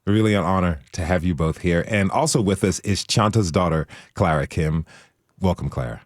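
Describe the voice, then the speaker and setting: A man's voice: low voice, moderate pace, no echo. A man hosting a radio show, reading introductions and interviewing guests. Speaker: radio host.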